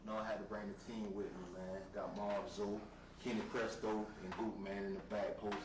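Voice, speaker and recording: A man's voice: lowish voice, flat delivery, normal speed. A young man from South Florida, a rapper guest in a casual interview, talking in laid-back street slang and often saying "you feel me.". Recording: roomy, distant mic with noise.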